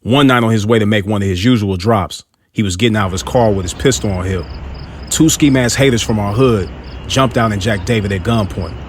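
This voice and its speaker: A man's voice: fairly low pitch, a little fast, noisy background. A man narrating a scripted motivational story in an earnest way, with hip-hop street slang.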